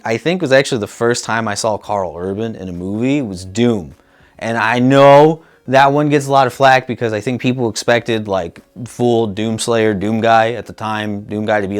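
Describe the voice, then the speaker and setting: A man in his thirties co-hosting a casual movie chat, speaking in a playful, emphatic, conversational way. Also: medium pitch. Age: 30s. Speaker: co-host. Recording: music playing behind. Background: background music.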